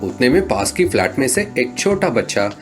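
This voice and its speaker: A man's voice: mid-range voice, sing-song, fairly quick. A man narrating a scripted comedy story as a storyteller. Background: background music.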